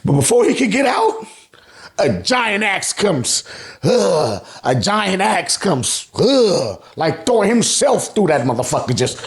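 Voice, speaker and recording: A man's voice: high voice, big pitch swings, takes it slowly. An American man hosting a casual, slangy recap, telling the story colloquially and acting out reactions. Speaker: YouTube host recapping manga.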